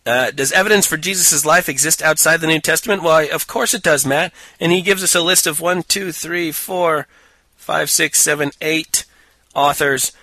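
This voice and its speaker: A man's voice: a little high, moderate pace, bright, forceful tone, no echo. A man co-hosting a casual podcast, picking apart a book with a sarcastic, mocking manner and frequent laughter. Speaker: podcast co-host. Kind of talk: casual chat reviewing a book.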